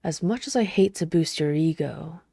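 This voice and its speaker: A woman playing a reserved, dutiful knight in an ASMR roleplay, speaking formally with dry, measured restraint. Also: low-pitched, gravelly, warm tone, no echo. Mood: wry, restrained. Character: reserved, dutiful female knight, dryly scolding royal lover.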